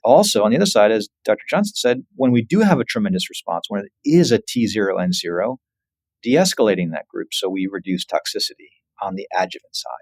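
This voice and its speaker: A man speaking as a physician researcher in an interview, earnest and emphatic while explaining findings in measured phrases. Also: fairly low pitch, big pitch swings, dry recording. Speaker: physician researcher, podcast guest.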